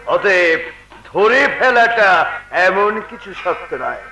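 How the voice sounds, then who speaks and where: High-pitched theatrical leering thug. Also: male voice, sing-song, slow, full character voice. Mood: leering, taunting. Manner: taunting, theatrical delivery. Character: leering hired thug and kidnapper, bullying but cowardly. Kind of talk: Bengali jatra folk-theatre acting. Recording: echoey stage recording with music.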